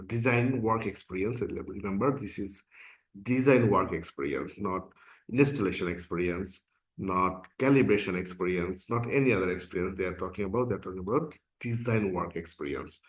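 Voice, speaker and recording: A man from Bangladesh, a non-native speaker of English whose first language is Bangla, casually explaining an application form step by step as an instructor. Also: on the low side. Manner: casual and explanatory, frequent "uh" and "okay". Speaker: course instructor. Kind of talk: screen-recorded tutorial walkthrough.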